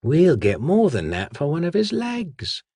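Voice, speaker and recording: Storybook villainess, a sly hissing body snatcher woman with a rattling whisper, pitched up, put on by a male reader. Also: wildly swooping pitch, measured pace, warm tone, breathy edge, no room sound, clean studio sound, heavily acted. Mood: menacing.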